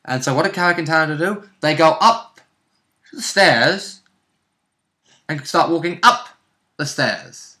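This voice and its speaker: A man's voice: on the high side, animated, measured pace, pauses often, bright, forceful tone. A man casually chatting as co-host about a tabletop game, chipping in with short comments and corrections. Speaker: co-host and player recapping a game. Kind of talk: casual chat.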